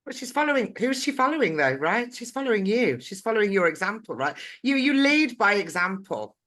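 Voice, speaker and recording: A woman's voice: medium pitch, sing-song, brisk, fairly bright tone. A woman hosting a podcast interview with a dry, throaty voice, affirming her guest and chiming in with agreement. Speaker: podcast host and coach.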